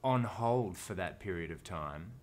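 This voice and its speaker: Australian male actor, interview guest, deep voice, casual and thoughtful, restarts a lot. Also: animated, unhurried, darkish tone. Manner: thoughtful, rambling, with frequent restarts.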